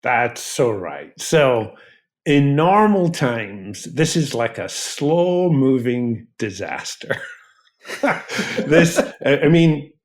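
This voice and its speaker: A man speaking as an expert interview guest, explaining in emphatic, articulate, measured phrases. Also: mid-range voice, sing-song. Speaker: physician researcher, interview guest.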